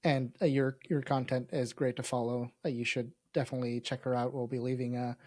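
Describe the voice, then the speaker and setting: A man's voice: mid-range voice, little pitch movement, fairly quick, darkish tone, no echo, studio quality. A man from Lebanon whose first language is Arabic, hosting a casual podcast in a hesitant, wry way. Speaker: podcast host.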